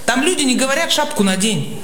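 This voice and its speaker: A woman's voice: high-pitched, expressive, brisk. A woman in her forties from Yakutia doing a conversational, self-deprecating stand-up monologue to an audience. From Yakutia, Russia. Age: 40s. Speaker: stand-up comedian. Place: stage before a live audience. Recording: echoey hall, loud audience noise. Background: loud live audience.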